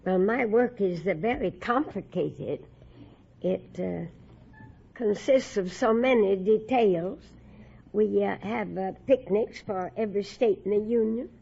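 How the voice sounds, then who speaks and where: A woman's voice: mid-range voice, unhurried, gravelly, mellow, husky. An older woman, answering a quiz host's questions in a hesitant, formal way. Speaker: quiz show contestant. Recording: muffled old radio recording.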